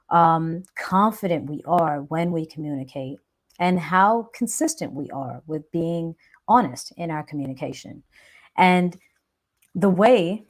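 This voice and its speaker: Earnest low-voiced woman hosting a live chat. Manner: earnest, reflective, keeps adding "right?". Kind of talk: motivational live stream talk.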